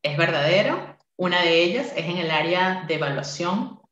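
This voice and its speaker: A woman's voice: fairly low pitch, lively intonation, normal speed, fairly bright tone, echoey room, highs cut off, a little hiss or hum. A woman in her forties, a university English teacher, giving a friendly interactive talk to a workshop with frequent "eh" fillers. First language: Spanish. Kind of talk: online conference talk.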